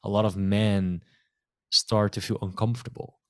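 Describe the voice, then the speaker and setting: A man hosts a podcast conversation, asking long, winding questions full of restarts and "you know". His voice is very low. The sound is dry, with no room echo. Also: steady tone, normal speed, clean tone. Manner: enthusiastic, restarts often, frequent "you know". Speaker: podcast host. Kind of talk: casual podcast interview.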